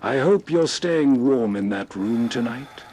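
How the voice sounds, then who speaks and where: A man's voice: medium pitch, expressive, unhurried, darkish tone, slight background noise. A man hosting with soothing, scripted late-night narration. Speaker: host.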